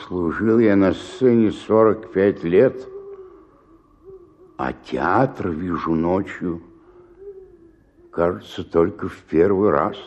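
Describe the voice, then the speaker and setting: Old stage actor, hungover, self-pitying, low voice, extremely slow, groaning, muttering, radio drama. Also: male voice, dull audio, a little hiss or hum, exaggerated voice. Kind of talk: theatrical radio drama monologue.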